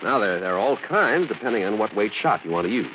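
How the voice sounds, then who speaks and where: Low-voiced, eager gun shop clerk. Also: male voice, big pitch swings, quick pace. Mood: obliging, eager. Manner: deferential, hesitant, folksy salesman. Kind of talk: old radio thriller acting. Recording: muffled, faintly noisy old radio recording.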